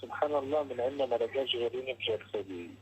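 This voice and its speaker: A man's voice: mid-range voice, normal speed. A man with a Palestinian Arabic accent asking brief questions in a casual phone conversation. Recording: lo-fi, noisy intercepted phone call.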